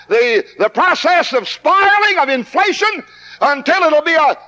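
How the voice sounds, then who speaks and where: A man with a very high, bright voice preaches an old-time camp meeting sermon. He is fervent and emphatic. The sound is an old 1960s tape recording with long hall reverb.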